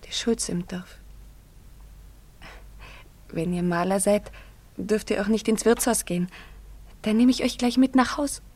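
A woman's voice: mid-range voice, measured pace, long pauses, lightly acted. An actress in a radio drama playing an earnest, naive young village girl with a hesitant manner. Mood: shy, hopeful. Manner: hesitant, earnest, often hushed. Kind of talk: German radio drama acting.

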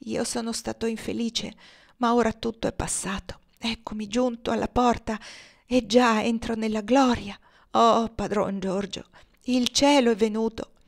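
A woman voicing a dying old man in an audiobook, gentle, feeble and devout, speaking with solemn peace. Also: mid-range voice, steady tone, vocal fry. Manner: solemn, devout, slow-breathed exaltation.

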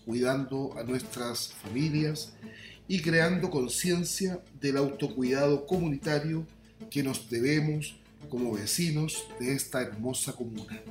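A man's voice: mid-range voice, a little slow. A man with a Chilean accent hosting a radio news programme in a formal, scripted style. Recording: roomy echo, music bed.

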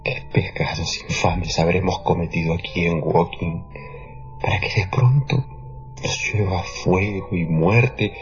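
Un actor de radionovela que interpreta a un pastor protestante temeroso y fanáticamente devoto, predicador, ferviente y repetitivo. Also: male voice, low voice, steady tone, unhurried, dull audio, faint music behind. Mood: fervent, fearful. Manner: preachy, fervent, repetitive. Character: fearful, fanatically devout Protestant pastor. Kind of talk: radio drama acting.

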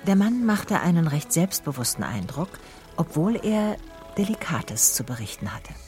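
A female private eye in a radio play, speaking in a lowish voice. She observes people closely and comments with dry, wry understatement. Music sits behind her. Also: sing-song, moderate pace, a little mellow. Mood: wry narrating. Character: Cher Ebinger, wry, observant Swabian female private detective. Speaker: narrator and lead character. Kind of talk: radio play narration and dialogue.

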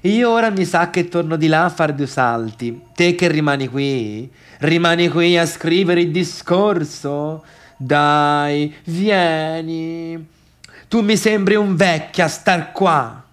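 A man's voice: a little high, slow, smooth. An actor playing a gossipy, needling party guest and domineering friend in a theatre monologue, chatty and insistent, repeating himself and addressing his listeners directly. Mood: sullen, defiant.

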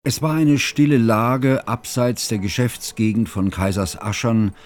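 An actor playing a bookish, self-conscious scholar who recalls his youth in measured, ornate literary narration for a radio play. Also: medium pitch, a little mellow, natural voice. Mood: reflective, scrupulous. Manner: measured, formal, ornate phrasing. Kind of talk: literary first-person narration, German radio drama.

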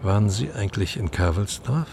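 An actor playing a courtly, probing East German professor who talks in a formal, insinuating way in a radio drama. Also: male voice, fairly low pitch, lively intonation, brisk, gravelly, a little mellow, a little hiss or hum.

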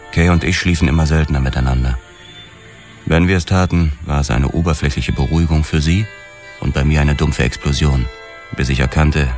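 A world-weary male investigator narrates a noir radio drama in a very deep voice. He sounds haunted and brooding, and music plays behind him. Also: darkish tone.

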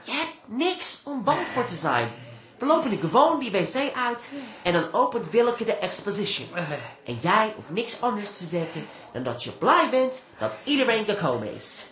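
High, lilting, coaxing friend. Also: male voice, pushed and bright, full character voice. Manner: coaxing, encouraging. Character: coaxing, reassuring male friend of a nervous artist. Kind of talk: radio play acting. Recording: roomy echo, people in background.

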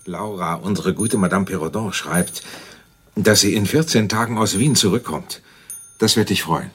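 An actor playing a protective, fond middle-aged father and general in a radio drama, with a husky, breathy voice. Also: low voice, normal speed, a bit bright. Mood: tender, gentle.

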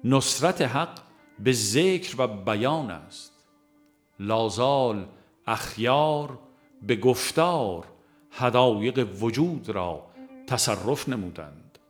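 A man reading a religious audiobook in a measured, formal, devotional way. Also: mid-range voice, expressive. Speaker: audiobook narrator. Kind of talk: scripted audiobook narration of a religious text. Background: music.

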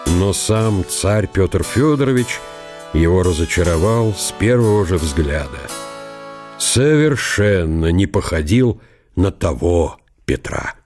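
Low-voiced male storyteller over faint music. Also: expressive, takes it slowly. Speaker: narrator of a radio audio play. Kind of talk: literary scripted narration of a historical story.

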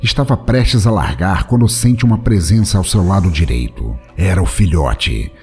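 A Brazilian man delivering dramatic scripted narration for an audio drama. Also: low-pitched. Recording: narration over loud background music.

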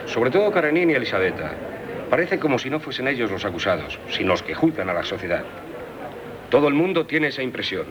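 An actor playing a composed, authoritative lawyer in a 1970s radio drama, measured and formal. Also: male voice, medium pitch, very animated, lo-fi sound, natural voice. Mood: matter-of-fact. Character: composed, authoritative male lawyer, calming and matter-of-fact. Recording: 1978 radio recording, faint noise.

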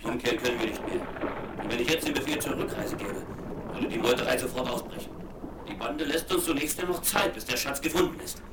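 An actor playing a stern, capable ship's captain who gives clipped, commanding orders. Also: male voice, medium pitch, brisk, lightly acted. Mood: firm. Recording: distant, roomy and very hissy.

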